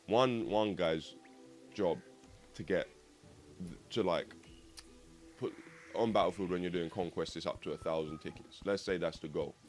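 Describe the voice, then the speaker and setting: A young man with a slightly croaky voice, rambling casually and swearing as he hosts a gaming video. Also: low-pitched, a little mellow. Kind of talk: rambling casual monologue over gameplay.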